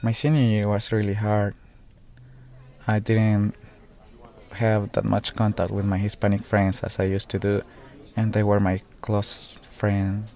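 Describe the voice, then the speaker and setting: A man's voice: fairly low pitch, dark tone. Earnest young man. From El Salvador. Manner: halting, earnest, pauses often mid-sentence. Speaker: student. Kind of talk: personal testimony in a documentary video.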